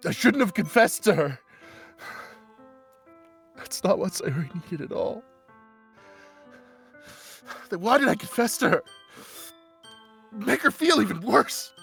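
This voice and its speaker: Man voicing teenage schoolboy, visual novel protagonist, slow halting delivery, stammering, raw anguish, music behind. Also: high-pitched, gravelly, a bit bright, full character voice. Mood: anguished, self-blaming. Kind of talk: dramatic first-person visual novel fan dub.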